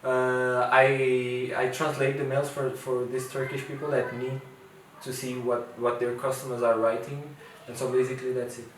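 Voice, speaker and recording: A man's voice: mid-range voice, little pitch movement, normal speed, a little hiss or hum. A man in his twenties whose first language is Portuguese, an international student talking casually in an interview, hesitant with many fillers and restarts. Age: 20s. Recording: echoey room, distant mic, faint ambience.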